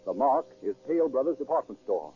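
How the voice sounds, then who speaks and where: A man's voice: medium pitch, very animated, a little fast. A man narrating a true crime radio drama in a dramatic 1930s broadcast style. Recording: dull, muffled 1930s radio recording.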